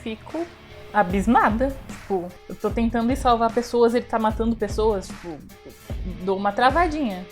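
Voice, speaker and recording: A woman's voice: on the high side, expressive, moderate pace. A Brazilian woman casually narrating her character's actions as a player in a tabletop role-playing game. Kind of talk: casual first-person description of game actions. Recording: music playing behind the voice. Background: music.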